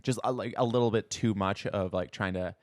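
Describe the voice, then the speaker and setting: A man's voice: lowish voice, a little fast, no echo, studio quality. A man co-hosting a podcast, chatting in an informal, conversational way. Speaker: podcast co-host. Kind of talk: casual chat.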